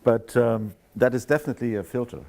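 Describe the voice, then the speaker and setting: A man's voice: mid-range voice, fast talker, gravelly, mellow. A man, the guest speaker, discussing after his lecture and thoughtfully searching for words.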